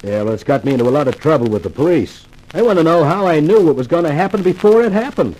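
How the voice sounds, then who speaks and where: A man's voice: a little high, lively intonation, fast, dark tone. A man playing a flippant, self-assured celebrity columnist in a 1950s radio detective drama, with a wisecracking manner. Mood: exasperated. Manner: wisecracking, cocky. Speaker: lead actor and first-person narrator. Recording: noisy old radio drama recording.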